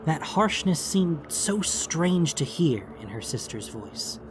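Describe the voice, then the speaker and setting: A man giving measured, theatrical narration of a fantasy audiobook. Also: fairly high pitch, very animated, slight background noise.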